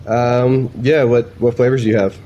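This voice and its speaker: A man's voice: mid-range voice, little pitch movement, normal speed, dark tone, lo-fi sound, a little hiss or hum. A man hosting a demo video in a casual, laid-back, informal way, like a chatty tutorial. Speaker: video host demonstrating AI voice agents.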